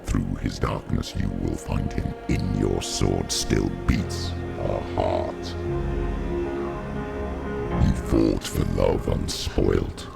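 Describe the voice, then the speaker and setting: Menacing demon narrator for a game, extremely deep growl, slow and solemn, under loud music. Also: male voice, steady tone, gravelly, heavily acted. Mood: ominous. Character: menacing dark demonic spirit voice, slow and ominous. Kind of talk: dramatic game narration in verse.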